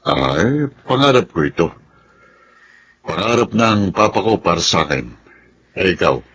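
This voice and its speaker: Deep-voiced Filipino army officer. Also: male voice, very animated, measured pace, fairly bright tone, slight background noise, heavily acted. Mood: concerned. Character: seasoned, understanding army superior officer. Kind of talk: radio drama acting.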